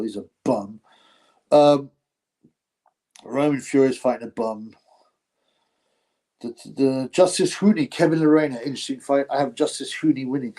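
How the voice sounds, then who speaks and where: A man's voice: medium pitch, a little mellow. A man hosting a casual live stream, rambling, reading chat and laughing often between filler words. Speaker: boxing channel host reading chat.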